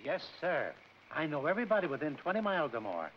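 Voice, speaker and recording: A middle-aged actor playing a folksy, sly small-town cabin keeper in a 1940s film comedy. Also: male voice, on the high side, big pitch swings, fast. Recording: muffled old 1940s film soundtrack, faint noise.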